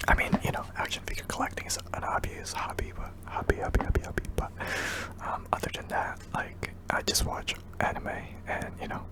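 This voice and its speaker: A man's voice: a little fast, poor audio. A young man from Indonesia, whispering hesitantly with many ums while answering viewer questions in an ASMR video. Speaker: ASMR channel host.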